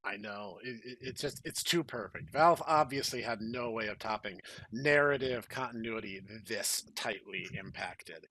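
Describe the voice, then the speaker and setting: A man co-hosting a comedy podcast, bantering casually with emphatic, mock-serious praise and halting delivery. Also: medium pitch, sharp tone, no echo, poor audio. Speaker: podcast co-host.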